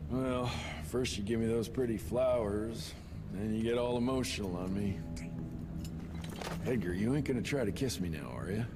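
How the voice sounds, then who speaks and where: An actor playing a cocky, wisecracking young cowboy agent with an American accent in a video game cutscene. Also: male voice, on the low side, normal speed, music in the background, natural voice. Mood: teasing.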